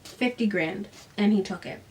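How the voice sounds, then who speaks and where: A guarded, defensive father speaks in a hushed, subdued voice, as a woman reads his part. The voice sits a little back from the microphone. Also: medium pitch, moderate pace, recorded from a distance, natural voice, poor audio.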